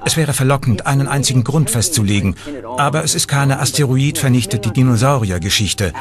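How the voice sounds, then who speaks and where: A man's voice: medium pitch, lively intonation, fairly quick, a crowd in the background. A man giving explanatory commentary as the dubbed voice of a documentary expert. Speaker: voice-over for an interviewed expert.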